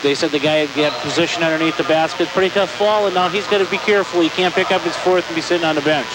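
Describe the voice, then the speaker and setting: A man's voice: fairly high pitch, quick pace. A man giving folksy, conversational color commentary on a basketball radio broadcast. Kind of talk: conversational sports analysis. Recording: hissy, noisy amateur tape of radio broadcast.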